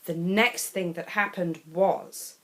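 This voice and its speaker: A woman reading a children's picture book aloud as a storyteller, expressive and deliberate, with dramatic pauses between phrases. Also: lowish voice, slight background noise.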